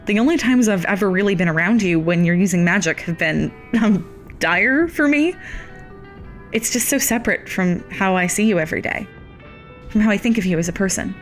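A woman's voice: medium pitch, lively intonation, brisk, faint music behind, lightly acted. A woman in an audio drama playing a supportive, plainspoken younger sister with a thoughtful, reassuring manner.

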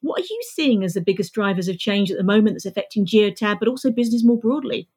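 A woman's voice: medium pitch, lively intonation, fast, slightly rough. A woman hosting an interview show, asking questions in an enthusiastic, encouraging way. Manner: warm enthusiastic questioning, some restarts. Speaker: podcast host.

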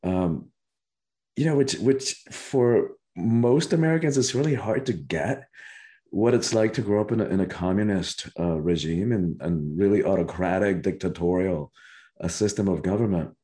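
A very deep, mellow-voiced man from Cuba speaks as a podcast guest. He is a spiritual teacher who talks earnestly and reflectively, often restarting his phrases as he thinks aloud. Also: normal speed. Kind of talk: reflective interview answers.

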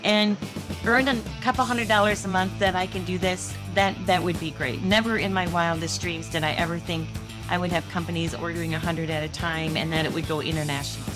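A woman's voice: lowish voice, steady tone, a little fast, over music. A woman in her sixties from Michigan, telling her own story earnestly and candidly as a guest on an interview show. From Holland, Michigan, USA. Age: 60s. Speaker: guest inventor.

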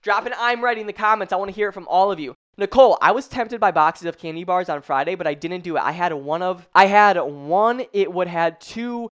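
A woman's voice: on the low side, brisk, few pauses, fairly bright tone. A woman fitness coach running a live online training, hyped and swearing casually, a bit congested from a cold. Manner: hyped, swears casually, addresses viewers by name. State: congested, a little stuffy. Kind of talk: energetic live-stream coaching talk.

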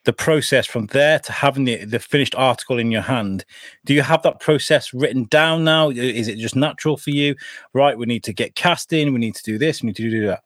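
A British man co-hosting a casual chat, animated and given to crude humor. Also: mid-range voice, fairly quick, no room sound, studio quality. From Britain.

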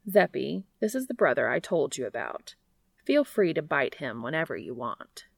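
A shy and awkward recluse of a man, read by a woman for an audiobook, deadpan and hesitant. The voice is low with very heavy creak, recorded dry. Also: normal speed, no echo, heavily acted. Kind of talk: audiobook character dialogue.

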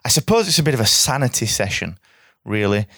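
Croaky, straight-talking man. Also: mid-range voice, a little fast, no room sound, studio quality. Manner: straight-talking, energetic, repeats for emphasis. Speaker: podcast host. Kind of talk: solo podcast monologue.

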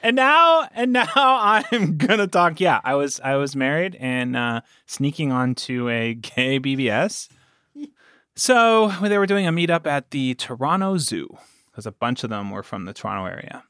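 A man's voice: a little high, very animated, normal speed, pushed and bright, smooth, dry recording, studio quality. A man chatting casually as a podcast guest, telling stories in a jokey way and chuckling as he talks. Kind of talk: casual storytelling chat.

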